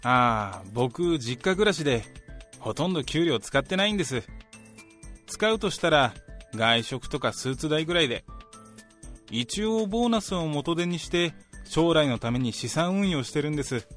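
A man's voice: a little high, animated, moderate pace, a bit bright. A man playing an ambitious, self-assured young office worker in a radio drama, speaking politely and earnestly to his senior. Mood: earnest, confiding. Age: young adult. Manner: polite junior speech, talkative about ambitions. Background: background music.

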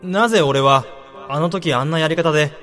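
A man's voice: fairly high pitch, normal speed, a bit bright, long reverb tail, faint music behind, close to an everyday voice. A man playing a guilt-ridden young section chief. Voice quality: breezy at first, then anguished inner monologue. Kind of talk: radio drama acting.

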